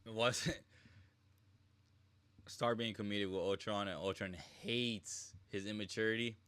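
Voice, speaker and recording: A man gives casual live reactions as a game streamer. His low voice wanders through slangy, loose commentary. The sound is dry and close. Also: steady tone, unhurried, fairly bright tone, clean tone, no room sound. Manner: slangy, rambling, mimics characters in quotes.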